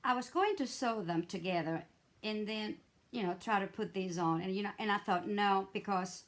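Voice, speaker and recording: A hoarse middle-aged woman, chatting her way through a craft tutorial with many fillers and restarts. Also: medium pitch, normal speed. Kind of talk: casual step-by-step craft demonstration.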